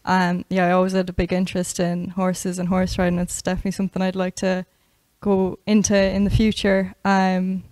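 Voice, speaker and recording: A young Irish woman speaks in a soft, hesitant way, with a very flat, even pitch. She is a veterinary student answering questions in a studio interview. The sound is close and dry. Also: fairly quick, no echo. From west of Ireland. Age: 20s.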